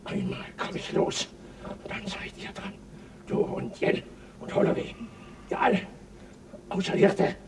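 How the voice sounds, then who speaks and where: A man's voice: high voice, steady tone, measured pace, long pauses, distant mic, slight background noise. An actor playing a bound, hostile crewman who curses and threatens in a radio play. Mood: paranoid snarling. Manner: snarling, threatening. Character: bound, hostile male crewman, paranoid and cursing.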